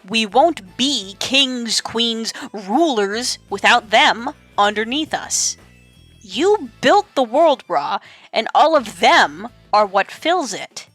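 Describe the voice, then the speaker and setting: Woman as proud goddess queen, indignant, sharp, sweeping pitch, tense bright tone, audio drama. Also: very animated, slow pace, soft background music, heavily acted. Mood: impassioned, pleading. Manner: sharp, cold, forceful.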